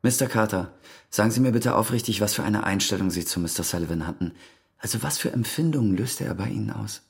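Police detective, radio crime drama, low husky voice, brisk, formal, insistent questioning. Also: male voice.

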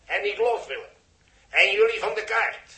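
An actor playing a forceful chief engineer at ground control in a 1960s science fiction radio drama. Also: male voice, sits high, lively intonation, moderate pace, low-quality recording. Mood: wry.

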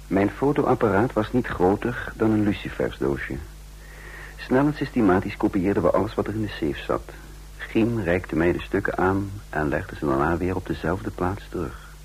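An actor playing a composed intelligence agent who recounts and plays out his mission in a radio drama, speaking in a measured, formal way. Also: fairly low pitch, lively intonation, a little mellow, husky. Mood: measured, pensive. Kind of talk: radio drama narration and dialogue. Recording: noisy old radio drama recording.

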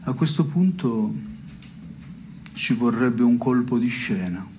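An actor playing a wry, self-satisfied petty-theft philosopher in absurdist theatre, with a deliberate, mock-solemn delivery. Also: male voice, mid-range voice, lively intonation, very noisy. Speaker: stage actor. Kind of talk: scripted absurdist theatre dialogue.

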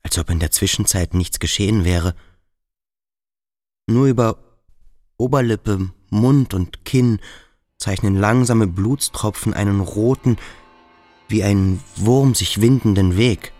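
A man playing a brooding, earnest cadet in a radio drama, hushed and searching. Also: lowish voice, slow pace, pauses often, faint music behind. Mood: grave. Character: brooding, earnest male cadet at a boarding school. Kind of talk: literary radio drama acting.

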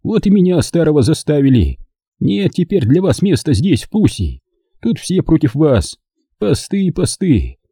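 Man reader plays a frail, tearful old villager, high and quavering, dark husky tone, begging. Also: big pitch swings, normal speed, no echo, studio quality, full character voice. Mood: lamenting. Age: older. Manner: tearful, whining, pleading. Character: frightened old German man pleading for his life.

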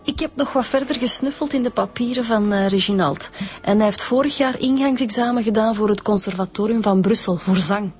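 A woman's voice: medium pitch, a little fast, darkish tone, natural voice. A woman playing an eager, matter-of-fact homicide detective reporting findings in a radio drama, with a Flemish accent. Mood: matter-of-fact, keen. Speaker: police detective.

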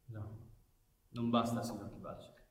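A male actor playing an earnest, world-weary police commissioner in a theatrical radio drama, speaking in a formal, measured, persuasive way. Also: on the low side, flat delivery, natural voice. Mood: subdued. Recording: echoey hall, distant microphone.

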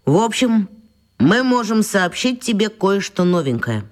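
A woman's voice: mid-range voice, very animated, unhurried. A woman playing a brash, touchy schoolboy in a children's radio drama. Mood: breezy. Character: brash, touchy schoolboy around ten years old.

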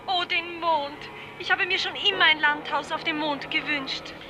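A woman's voice: sits high, fairly even intonation, normal speed, pushed and bright. An actress playing a submissive, devoted woman in a melodramatic 1950s radio play. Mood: eager to please. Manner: fervent, melodramatic, servile. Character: devoted, submissive woman pleading with her idolized master. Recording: reverberant old radio drama with music.

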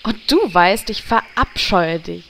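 A woman's voice: lowish voice, unhurried, vocal fry, a little hiss or hum. A woman playing a miserable, snippy undead character in a parody audio drama, dramatic and biting. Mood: contemptuous.

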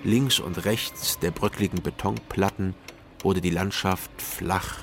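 An actor playing a sober male private detective, narrating his case in a measured, matter-of-fact way for a radio crime drama. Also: low voice, fairly even intonation, vocal fry, a little hiss or hum, exaggerated voice. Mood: sober, descriptive. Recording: music under the narration. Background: music.

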